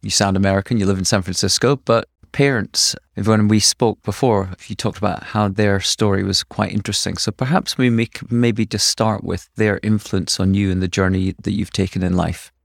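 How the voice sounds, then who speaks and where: A man hosting a podcast, reading a scripted guest introduction, then chatting in a measured way. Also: lowish voice, fairly even intonation, creaky, no echo, studio quality. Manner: measured, reads a list-like introduction. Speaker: podcast host. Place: Austin, Texas.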